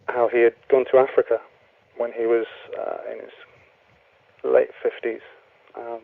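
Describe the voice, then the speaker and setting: A young man, a guest author speaking in a radio interview, relaxed and hesitant with many ums. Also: medium pitch, no echo. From Wales. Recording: tinny phone line, dry.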